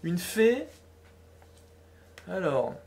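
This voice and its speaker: A young woman co-hosting a gaming talk show live stream, teasing and animated as she runs a guessing game. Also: lowish voice, a little hiss or hum. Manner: teasing, animated, quick laughs. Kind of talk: playful livestream quiz chat.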